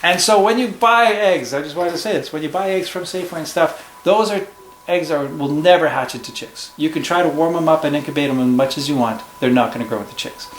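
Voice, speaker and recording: A man's voice: on the high side, quick pace. Brief: male home teacher, patient explainer, echoey room with faint hum. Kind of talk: casual classroom discussion.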